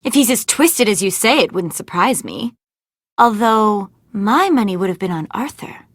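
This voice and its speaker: A woman's voice: medium pitch, lively intonation, normal speed, no echo, studio quality, natural voice. A woman voicing a vain, ditzy young movie actress in an adventure game, gushing and theatrical in a gossipy way. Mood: conspiratorial. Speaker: video game voice actor. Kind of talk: animated adventure game dialogue.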